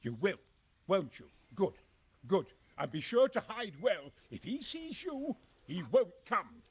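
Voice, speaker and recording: An actor playing a nervous, middle-aged military engineer and former crusader in a video game, speaking earnestly in formal, old-fashioned phrasing. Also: male voice, high voice, huge pitch swings, measured pace, slightly rough, full character voice. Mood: frightened. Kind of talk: dramatic video game acting.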